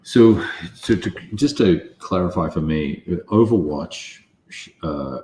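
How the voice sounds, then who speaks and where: A man's voice: low voice, lively intonation, slow pace, mellow, slight background noise. A man talking casually as a player working through the rules and moves of a tabletop game.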